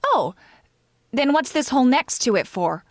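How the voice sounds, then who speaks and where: Woman acting as radio comedy housemaid, fairly high, lilting, dry wit, deferential, vintage sitcom style. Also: big pitch swings, a little slow, no echo. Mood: puzzled, dry. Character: wry, loyal female housemaid in a radio comedy.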